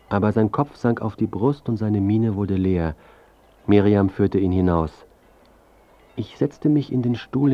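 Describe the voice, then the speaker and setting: Self-important journalist, thirties, radio drama, calculating, smooth-tongued, low-pitched, dull recording with music. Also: male voice. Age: 30s. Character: smug, calculating 31-year-old journalist narrating his own story. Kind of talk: first-person narration and dialogue in radio drama.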